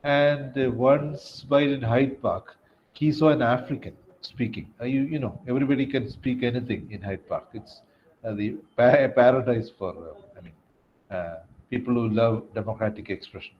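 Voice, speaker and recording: A man talks casually as an interviewer, with a middling pitch. He is halting and wandering, and the sound is dull with faint noise behind. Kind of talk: casual conversation, anecdote telling. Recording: dull lo-fi call with background noise.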